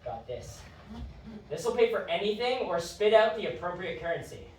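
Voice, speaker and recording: A woman's voice: fairly low pitch, sing-song, moderate pace, a little mellow, recorded from a distance. Very echoey, playful otherworldly time traveler acted by a woman. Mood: easygoing. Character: Quench, teasing otherworldly former adjudicator who lives outside time. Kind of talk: audio drama acting, conversational. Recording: very echoey, off-mic, faint noise.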